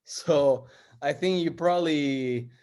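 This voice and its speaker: A man's voice: fairly high pitch, slow, a little mellow. A man from Argentina, speaking as an interview guest in a casual, hesitant way with many fillers and restarts. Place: based in the U.S.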